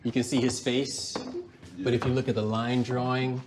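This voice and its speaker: An older man from Washington, D.C., with an American accent, explaining his work in a measured documentary interview style. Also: mid-range voice, little pitch movement, noisy background. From Washington, D.C., United States. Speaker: puppeteer, artist, museum curator.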